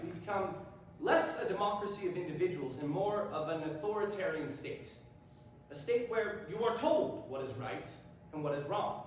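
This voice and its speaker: An actor plays a persuasive defense attorney speechmaking on stage. His delivery is oratorical and widely swinging in pitch. He sounds distant, in a very echoey room. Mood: impassioned. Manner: oratorical, deliberate emphasis and repetition. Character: earnest, persuasive defense attorney addressing a jury. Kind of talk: readers theater courtroom speech. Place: large echoey room, live stage performance. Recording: far off, very echoey hall, faint noise.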